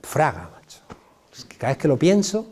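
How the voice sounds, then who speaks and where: Spanish man giving a lecture, high voice for a man, impassioned, colloquial, repeats points for emphasis. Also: huge pitch swings, normal speed, darkish tone, husky. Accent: Spain Spanish. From Spain. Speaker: political science professor giving a lecture. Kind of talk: public lecture to an audience.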